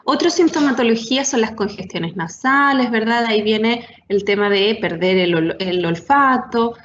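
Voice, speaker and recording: A woman's voice: a little high, normal speed, a little hiss or hum. A woman giving a university science talk, explaining step by step with frequent tag questions and fillers. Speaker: lecturer. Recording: echoey room, dull lo-fi sound.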